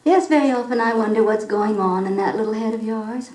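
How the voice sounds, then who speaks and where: Woman acting a mellow, tender radio-soap mother. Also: a little high, fairly quick, lightly acted. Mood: tender, musing. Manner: tender, musing, coaxing. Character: gentle, reflective, affectionate mother of a young girl. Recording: roomy vintage 78rpm radio recording.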